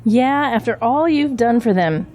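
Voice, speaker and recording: A woman's voice: fairly high pitch, animated, a little slow, darkish tone. A woman playing a loyal, frank political campaign aide in an audio drama, earnest and sympathetic. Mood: indignant.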